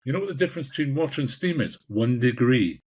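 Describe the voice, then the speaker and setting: A Scottish man in his sixties with a husky voice, answering interview questions in a passionate, emphatic way and repeating phrases for emphasis. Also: mid-range voice, lively intonation, fast, darkish tone. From Scotland. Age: 60s. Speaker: executive coach, podcast guest.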